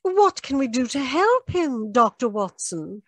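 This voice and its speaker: Worried young niece female actor, old-time radio: high voice, slow, earnest pleading, dry video-call sound. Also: very animated, dry recording, exaggerated voice. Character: anxious, devoted young niece of a colonel. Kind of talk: 1940s radio mystery acting. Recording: live performance over video call.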